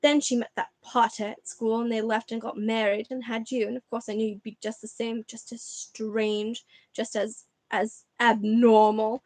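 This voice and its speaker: Woman putting on a shrill ranting aunt. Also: moderate pace, no room sound, close to an everyday voice. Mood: bitter. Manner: shrieking, ranting. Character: shrill bitter middle-aged aunt.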